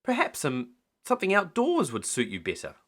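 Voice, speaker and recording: Man as older storybook wife, fussing, teasing, bright tone, animated pitch. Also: moderate pace, heavily acted. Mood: concerned. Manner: chiding, solicitous.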